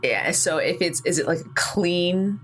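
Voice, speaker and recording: A woman playing a tabletop game, asking questions casually and haltingly as she thinks aloud. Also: fairly low pitch, fairly bright tone. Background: background music.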